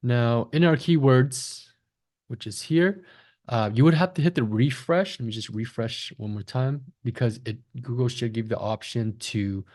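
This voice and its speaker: A man's voice: mid-range voice, a little slow, a little mellow. Man walking through an online tutorial. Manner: conversational, frequent check-in tags like "right?" and "okay?". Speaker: online marketing instructor, agency founder. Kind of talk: screen-share tutorial, explaining step by step.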